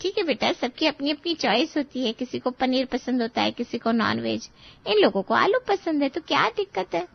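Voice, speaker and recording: A woman's voice: high-pitched, expressive, moderate pace, vocal fry, highs cut off, exaggerated voice. A woman playing a feisty, teasing middle-aged mother in a dramatized story, scolding and encouraging her grown daughter. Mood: dismissive soothing.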